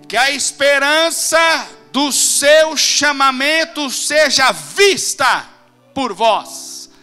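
A man preaching a sermon as pastor to his congregation, swelling to loud climaxes and then dropping back to a quiet tone. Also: extremely high pitch. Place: church service.

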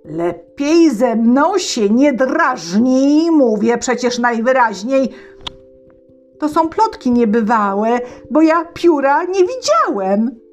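A woman voicing a wrathful bearded old tsar, shouting and threatening in a raised voice. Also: sits high, very animated, slow, faint music behind, pitched above the performer's own voice, full character voice.

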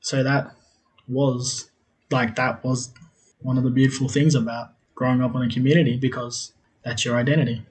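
A man's voice: medium pitch, flat delivery, normal speed, low-quality recording. Rambling young Australian man. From South Australia. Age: 20s. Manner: rambling, repeats himself, many "like" fillers, laughs often. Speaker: podcast guest. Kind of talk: casual interview storytelling.

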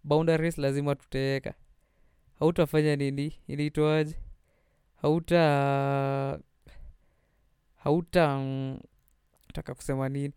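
A man's voice: fairly high pitch, level tone, a little slow, long pauses, a little mellow, smooth, dry recording, studio quality. A young Kenyan man hosting a podcast, chatting casually and switching between English and Swahili slang. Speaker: podcast host. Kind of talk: casual solo podcast chat.

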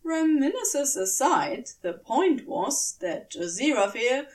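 A woman with a fairly high voice narrates a story in an expressive, strongly melodic way. She takes her time, pausing deliberately between phrases. She sounds a little way back from the microphone. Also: recorded from a distance. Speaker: podfic narrator. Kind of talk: scripted narration, audiobook reading of fiction.

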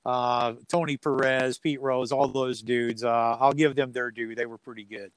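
A man's voice: medium pitch, fairly even intonation, normal speed, clean tone, dry recording. Folksy man chatting casually about sports. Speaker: radio sports play-by-play announcer as interview guest.

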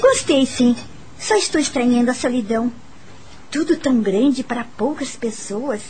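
An actress playing a kind, curious young female teacher in a Brazilian radio drama, expressive and musing, with a Brazilian accent. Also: on the high side, normal speed, heavy background noise, lightly acted. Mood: friendly, calm. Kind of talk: radio drama acting and first-person narration. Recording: music bed behind the voice. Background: music.

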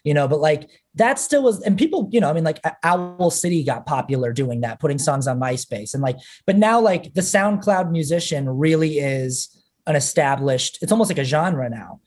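A man's voice: a little high, lively intonation, normal speed, darkish tone. A man in his thirties, a musician talking casually on a podcast, rambling with lots of fillers. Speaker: musician guest.

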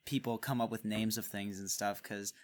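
A man's voice: on the low side, steady tone. A young man who is a guest on a podcast, curious and chatty, filling his speech with "like". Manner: quick, curious, with many "like"s. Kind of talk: casual chat.

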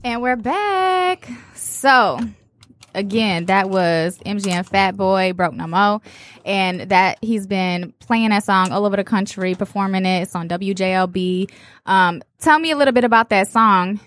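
A woman hosting a casual interview podcast, upbeat and chatty, firing off quick follow-up questions. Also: mid-range voice, fairly bright tone, soft background music. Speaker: podcast host.

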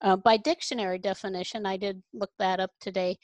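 A woman hosting an interview, explaining ideas in a reflective, measured way. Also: mid-range voice, fairly even intonation, no room sound. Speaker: host.

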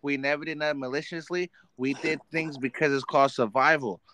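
A man in his thirties from the Bay Area, hosting a casual podcast chat with profane, rapid interjections. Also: fairly high pitch, steady tone, sharp tone, a little hiss or hum. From San Francisco Bay Area, California. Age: 30s. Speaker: podcast host.

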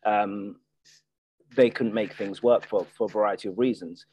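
A man's voice: fairly low pitch, fairly even intonation, normal speed, darkish tone, husky, low-quality recording. A man guest in a casual property podcast interview, talking with frequent fillers and restarts. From Nigeria, Ireland and the UK. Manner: hesitant, many restarts and fillers.

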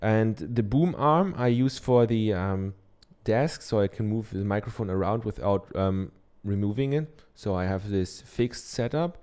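A man's voice: fairly low pitch, unhurried, hardly any pauses, darkish tone, clear, resonant tone. A man from Germany with a German accent, giving a casual how-to talk from his office into a dynamic studio microphone, chatty and loose, with many ums and tag questions. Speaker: podcaster. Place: treated home office.

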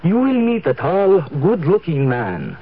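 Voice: mid-range voice, wildly swooping pitch, a little slow, husky, full character voice. A performer playing a mysterious, theatrical fortune teller in a comic radio ad sketch. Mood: mystical, assured. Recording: muffled, hissy old radio recording.

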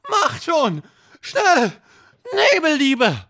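A man voicing an excitable talking horse, a humble straw gatherer, in a dramatic, exclamatory way for a children's bedtime story. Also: very high-pitched, extremely slow, no echo, clean studio sound, voice pushed up, heavily acted. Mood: panicked.

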